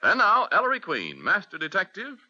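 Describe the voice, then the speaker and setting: A man's voice: high voice, huge pitch swings, fairly quick, pushed and bright. A man announcing formally and emphatically in the style of a 1940s radio broadcast. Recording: dull old radio broadcast, light music.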